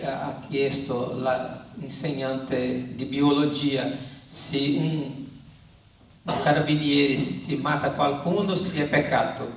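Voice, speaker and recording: A man, a priest interpreting live for a congregation, hesitant and searching for words, in a church. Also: a little high. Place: large reverberant church. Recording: echoey church, distant mic, faint noise.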